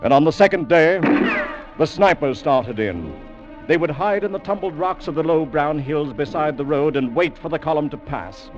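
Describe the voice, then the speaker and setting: An actor playing a storyteller, giving dramatic scripted narration of a regiment's march in an old-time radio drama. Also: male voice, fairly high pitch, animated, moderate pace, soft background music, lightly acted. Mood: grave, descriptive. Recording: dull old radio recording.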